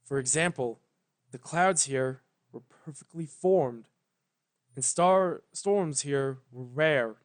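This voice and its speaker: Slow, solemn storyteller with a mid-pitched voice. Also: no room sound. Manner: measured, solemn, pausing between phrases. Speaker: story narrator. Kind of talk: scripted dramatic fan fiction narration.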